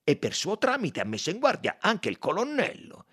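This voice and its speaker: A man voicing a concerned, questioning gentleman, the detective's friend in a novel. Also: high-pitched, huge pitch swings, normal speed, breathy edge, voice pushed up, heavily acted.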